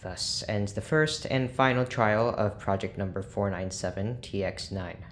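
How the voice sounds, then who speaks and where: An actor playing an exacting, disdainful scientist who dictates project notes in a dry, formal, condescending way for an audio drama. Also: male voice, fairly low pitch, a little slow, slight background noise, close to an everyday voice. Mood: cold disdain.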